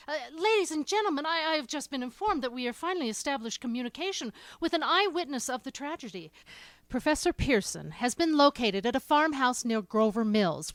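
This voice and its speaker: Woman acting a flustered radio newsreader, highish voice, formal and urgent, super dry close sound. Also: no echo, lightly acted. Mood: flustered, excited. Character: flustered female radio news announcer relaying urgent bulletins. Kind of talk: old-time radio news bulletin acting.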